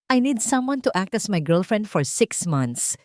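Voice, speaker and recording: A woman's voice: mid-range voice, sing-song, measured pace, a little mellow, no echo, clean studio sound, pitched above the performer's own voice. A woman voicing a young billionaire CEO in a romance audio story, blunt, businesslike and controlled. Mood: businesslike, commanding. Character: commanding, cool young male billionaire CEO.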